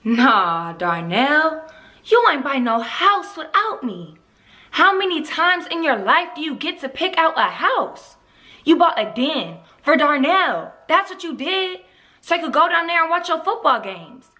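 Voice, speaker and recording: Casting: actress as fed-up young mother, high forceful voice, indignant questioning, stage drama. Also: very animated, exaggerated voice. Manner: indignant, rapid-fire questioning. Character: exasperated young mother scolding her partner. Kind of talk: theatrical stage monologue.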